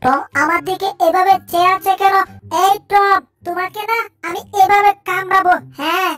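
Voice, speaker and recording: A woman's voice: very high-pitched, fairly even intonation, moderate pace, a bit bright, soft background music. A woman voicing an innocent, playful baby crocodile in a cartoon dub, with a childlike singsong delivery. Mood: cheeky.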